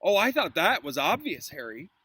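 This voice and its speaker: A man reading a storybook as a kindly, thoughtful wizard teacher, in a gentle conversational manner, recorded outdoors. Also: high-pitched, sing-song, moderate pace, no echo, pitched above the performer's own voice, heavily acted. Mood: reflective. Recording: clearly noisy outdoor recording.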